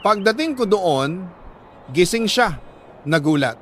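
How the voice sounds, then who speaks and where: A man with a Filipino accent reading a listener's letter as a radio drama host, in a sorrowful, deliberate storytelling manner with dramatic pauses. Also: high voice, very animated, fairly bright tone, a little hiss or hum.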